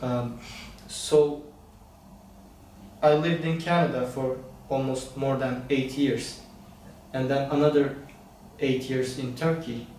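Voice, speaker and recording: Young man, slow and reflective, echoey room. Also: medium pitch, steady tone, a little mellow, slight background noise. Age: young adult. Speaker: interviewee. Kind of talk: casual interview answers. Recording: very echoey room, distant mic, faint ambience.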